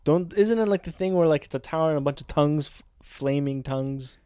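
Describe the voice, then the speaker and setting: A man co-hosting a casual comedy podcast, talking in a crude, excitable way with lots of swearing. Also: on the high side, brisk, clean tone, no echo, studio quality. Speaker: podcast co-host.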